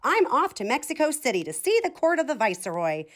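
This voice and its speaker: A vain little rooster chick from a storybook, acted out by a woman. He declaims briskly in a raised, singsong voice with big swoops of pitch. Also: big pitch swings. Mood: brisk, self-important.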